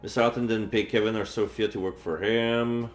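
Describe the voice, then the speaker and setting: A man's voice: fairly low pitch, steady tone, fairly quick. A man streaming a game and reading its visual novel text aloud. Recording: echoey room with faint game music. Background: faint game music.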